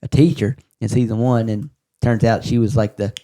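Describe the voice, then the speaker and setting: A man's voice: fairly low pitch, fairly even intonation, normal speed, background noise. A man hosting a podcast in a casual, conversational style. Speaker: podcast host. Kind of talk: casual chat.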